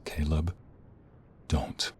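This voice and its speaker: An actor playing a protective, devoted young mobster boyfriend in a roleplay audio drama, serious and restrained. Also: male voice, drawn-out words, a little mellow. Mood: guarded.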